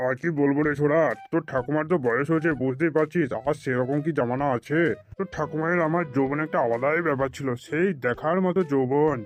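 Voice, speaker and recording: Rapid-talking cartoon grandpa, acted by a man. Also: fairly high pitch, faint music behind, lightly acted. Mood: chatty. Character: easily offended, chatty elderly Bengali grandfather. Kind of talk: comedy cartoon dialogue.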